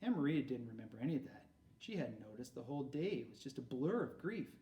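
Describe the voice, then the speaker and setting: Mellow man reading aloud, echoey room. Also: medium pitch, sing-song, fast. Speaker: read-aloud narrator. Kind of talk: expressive storybook read-aloud narration. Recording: roomy echo, far from mic.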